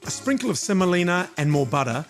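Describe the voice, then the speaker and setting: Man fronting a cooking show, slightly high voice, friendly matey patter, faint music under him. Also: big pitch swings, fast. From Australia. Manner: chatty, encouraging, matey. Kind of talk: cooking show presenting and narration.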